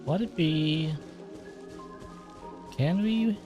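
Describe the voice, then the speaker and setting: A man's voice: on the high side, extremely slow, over music. A man casually commenting aloud while he plays through a game, musing and joking. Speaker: gameplay streamer.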